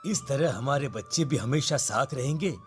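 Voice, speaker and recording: A man's voice: mid-range voice, moderate pace, close to an everyday voice. An actor playing a friendly father in a cartoon story. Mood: friendly, hopeful. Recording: music behind the voice.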